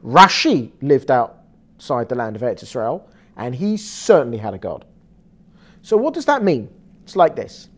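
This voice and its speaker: A woman with an English accent giving a lively, conversational religious lecture, acting out quoted lines as she explains. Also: rumbling low, a little slow. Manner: animated, conversational teaching with quoted dialogue. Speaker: Torah teacher.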